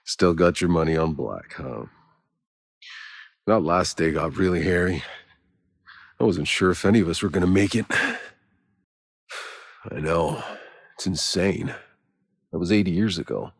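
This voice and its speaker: An actor playing a gruff, world-weary soldier in a video game, blunt and sardonic, with a gravelly voice. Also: male voice, low voice, a little slow, pauses often, in a large hall, exaggerated voice. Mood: matter-of-fact. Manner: blunt, sardonic, casual and profane. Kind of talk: video game character dialogue.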